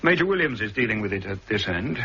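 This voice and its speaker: A man playing a brisk, cool-headed government agent in a 1960s radio drama, speaking with crisp, dry understatement. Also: on the low side, sing-song, bright, forceful tone, heavily acted. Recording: dull archive radio recording with faint hiss.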